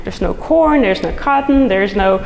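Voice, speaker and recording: A woman's voice: medium pitch, animated, moderate pace, gravelly. A middle-aged woman from the Netherlands with a Dutch accent, giving an enthusiastic, chatty conference talk as a soil scientist, full of fillers and restarts. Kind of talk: conference presentation. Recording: echoey hall recording, faint noise.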